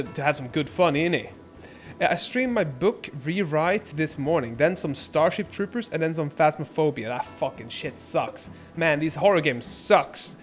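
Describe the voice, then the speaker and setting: A young man born in Norway, chatting casually and rambling on his live stream, swearing freely. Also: on the high side. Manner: rambling, laid-back, lots of filler and swearing.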